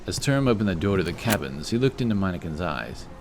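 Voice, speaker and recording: A man's voice: deep voice, big pitch swings, quick pace, noisy background. A man reading a scripted fantasy story as an audiobook narrator.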